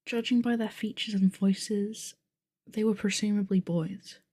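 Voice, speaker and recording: A woman playing a calm, bookish young monster game master in an audio roleplay, measured, articulate and formal. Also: medium pitch, breathy edge, no room sound, studio quality. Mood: composed, wry. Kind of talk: acted audio roleplay, narrating a tabletop game.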